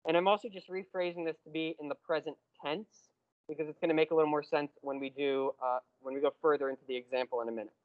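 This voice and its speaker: A man's voice: a little high, steady tone, dry recording, phone-quality sound. Patient man lecturing on logic. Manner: patient, explains step by step, slows on key terms. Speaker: math lecturer. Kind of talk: classroom lecture.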